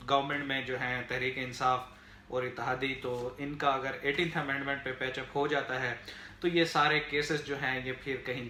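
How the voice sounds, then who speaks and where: A man with a Pakistani accent giving unscripted political commentary to viewers in a halting, explanatory way, with frequent filler phrases. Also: mid-range voice, bright, forceful tone. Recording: echoey room, faint background noise.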